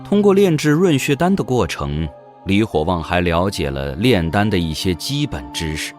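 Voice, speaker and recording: Deep-voiced male story narrator, very animated. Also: normal speed, faint music behind. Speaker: audiobook narrator. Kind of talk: scripted dramatic narration of a fantasy story.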